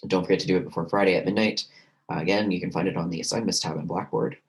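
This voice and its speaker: A man lecturing on a recorded class, hoarse and losing his voice, talking loosely with many fillers and restarts. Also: low voice, fairly even intonation, racing. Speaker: university lecturer.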